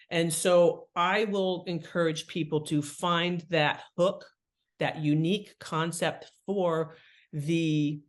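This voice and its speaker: A woman speaking as an expert guest in an interview, giving conversational advice and explaining with emphasis on key words. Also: deep voice. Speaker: guest author and writing expert.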